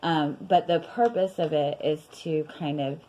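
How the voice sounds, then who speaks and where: Low-voiced woman losing her voice. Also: steady tone, measured pace, slight background noise. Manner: chatty, rambling, many fillers and soft laughs. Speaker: tarot reader. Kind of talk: casual tarot reading vlog.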